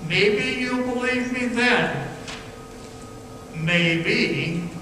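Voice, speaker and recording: An actor playing a sinister, slyly knowing storyteller in a radio play, speaking with dramatic, ominous pauses. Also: mid-range voice, lively intonation, background noise. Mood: ominous, taunting. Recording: echoey stage hall, distant mic, music.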